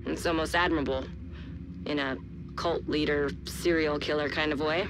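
A woman playing a steady, understated agent checking in with a colleague in a video game. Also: low voice, normal speed, background noise, natural voice. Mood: dry, steady. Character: steady, dry-witted female field agent colleague. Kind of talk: dramatic game dialogue.

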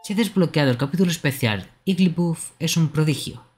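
Male streamer, animated intonation, dubbing and commenting over soft game music. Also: on the high side, a little fast.

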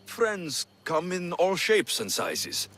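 A protective, plain-spoken mercenary hero from a video game. His voice is forceful and slightly high for a man, with lively swings in pitch. Faint ambient sound runs behind him. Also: takes it slowly, exaggerated voice. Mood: gentle. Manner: firm, plain-spoken, emphatic. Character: adult male mercenary hero, blunt yet protective. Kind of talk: video game cutscene dialogue.

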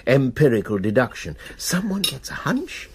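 A man's voice: medium pitch, wildly swooping pitch, normal speed, slight background noise. An actor playing an urbane man heading a college, diplomatically arguing his case in a radio drama. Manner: diplomatic, persuasive. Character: urbane male college master, persuasive. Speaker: head of a college.

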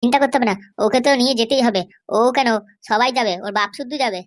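Woman playing a cocky, rapid-fire cartoon schemer. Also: fairly high pitch, natural voice. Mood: confident. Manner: boastful, threatening. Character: cocky, defiant schemer and ally in a comedy cartoon. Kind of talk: exaggerated cartoon dialogue.

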